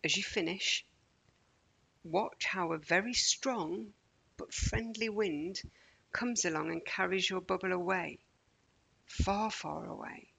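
Female meditation guide for children. Soft-edged breathy tone with vocal fry, measured and soothing delivery. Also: lowish voice, poor audio. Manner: gentle, measured, deliberate pauses.

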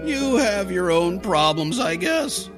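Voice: mid-range voice, sing-song, slow pace, over music. An actor playing a scheming, slangy teenage schoolboy in an old-time radio comedy, theatrical and wheedling. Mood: mock-wounded.

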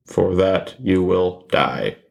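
A man voicing a deadpan, literal-minded killer who talks in a flat, robotic monotone. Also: deep voice, takes it slowly, vocal fry, heavily acted. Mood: flat menace.

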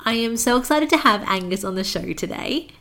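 A woman's voice: fairly high pitch, expressive, fairly quick, vocal fry, music in the background. A young woman with an Australian accent, hosting a podcast in a casual, chatty style. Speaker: podcast host. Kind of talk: casual chat.

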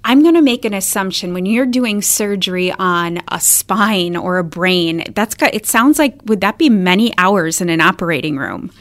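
A woman's voice: mid-range voice, normal speed, hardly any pauses, fairly bright tone. A woman speaking as a podcast host, introducing and interviewing a guest in a conversational way.

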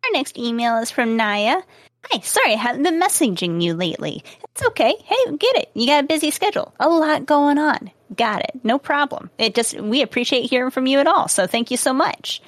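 Woman cohost, bit high voice, really lively, agrees a lot and laughs, dry close sound. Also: fairly quick, dry recording. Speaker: podcast co-host. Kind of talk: casual chat.

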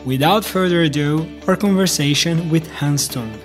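A man's voice: fairly high pitch, normal speed, soft background music. A young man from Brazil co-hosting a podcast, reading a prepared introduction and interview questions.

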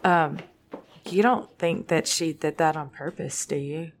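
A woman's voice: sits low, fairly even intonation, unhurried, a little hiss or hum. A woman playing a tender young mother in an audio drama. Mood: worried.

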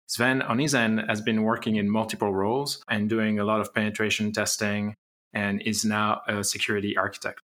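A man's voice: on the low side, fairly bright tone. A man hosting an interview podcast, reading introductions and asking friendly questions. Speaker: podcast host.

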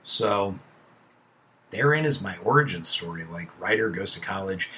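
A man's voice: low voice, lively intonation, moderate pace, pushed and bright, slight background noise. A man in his late thirties hosting a casual motivational monologue, emphatic and talking straight to the listener, sometimes dropping to a near-whisper. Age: 30s. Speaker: host.

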